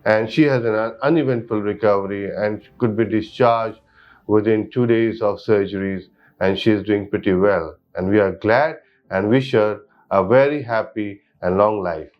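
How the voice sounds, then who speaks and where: A man's voice: lowish voice, moderate pace. A man with an Indian accent explaining a patient case as a surgeon, in a formal, clinical manner. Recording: dull audio under background music.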